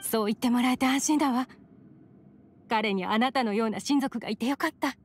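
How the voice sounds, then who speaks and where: A woman playing a gentle, caring, anxious mother in an anime game, speaking softly and politely. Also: fairly high pitch, brisk, slight background noise, lightly acted. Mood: grateful. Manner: soft, polite feminine speech.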